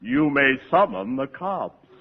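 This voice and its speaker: A man's voice: medium pitch, very animated. An actor playing a pompous, flowery-tongued con man in a 1940s radio comedy. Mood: resigned. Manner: pompous, theatrical, drawn-out delivery. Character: pompous, flowery-tongued male pool room swindler. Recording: dull 1940s radio broadcast, faint noise.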